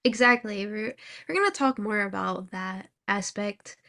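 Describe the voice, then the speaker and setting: A woman's voice: medium pitch, a little slow, creaky, bright, forceful tone. A woman in her twenties, from Thailand, co-hosting a podcast with dry, teasing remarks. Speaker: podcast co-host. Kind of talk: casual chat.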